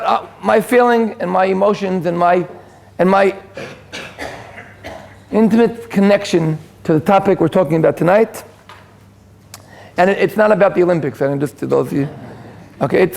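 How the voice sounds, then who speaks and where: High, gravelly Israeli man lecturing. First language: Hebrew. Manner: self-deprecating, joking asides, frequent restarts and fillers. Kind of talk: informal lecture to an audience. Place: community hall with live audience.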